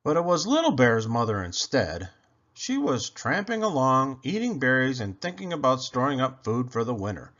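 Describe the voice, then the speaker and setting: A man reading a children's story aloud in an expressive, deliberate storytelling manner. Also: mid-range voice, lo-fi sound. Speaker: children's book reader. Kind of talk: scripted storybook narration, read aloud.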